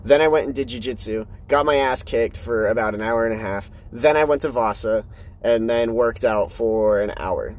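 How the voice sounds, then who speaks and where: A young man in his early twenties talks through his own podcast in loose, run-on sentences. His voice sits at an ordinary middle pitch, and his delivery is frank and unpolished, jumping between thoughts. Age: 20s. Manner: rambling, candid, animated swings in pitch. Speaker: podcast host. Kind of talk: casual solo podcast monologue.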